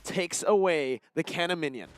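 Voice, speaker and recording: A man's voice: on the high side, normal speed, slight background noise. A man giving live play-by-play and analysis of an esports match in punchy, clipped phrases. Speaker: esports shoutcaster.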